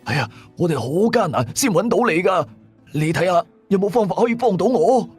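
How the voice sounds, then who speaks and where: Narrator doing a panicky young dad in a radio ghost drama, guy's voice pushed up high, rambling and begging, light music. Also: lively intonation, a little fast, slightly rough, exaggerated voice. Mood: anxious, urgent. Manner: urgent, rambling, pleading. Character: anxious young father, urgently seeking help for son.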